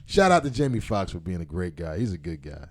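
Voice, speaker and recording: A man's voice: sits low, brisk. A young man, a guest, telling stories in a casual chat. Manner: animated storyteller.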